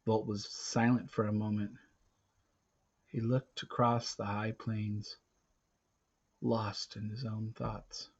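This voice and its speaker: Slow, theatrical man reading a story. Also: fairly low pitch, flat delivery, slightly rough, dull audio. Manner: theatrical, with dramatic pauses and big swings from hushed to loud. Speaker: read-aloud narrator. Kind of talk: dramatic storybook narration.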